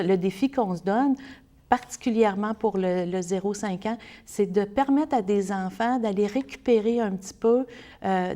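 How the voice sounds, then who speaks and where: A woman's voice: mid-range voice, mellow. A woman with a Québécois French accent giving earnest, explanatory answers as an interview guest speaking for a service.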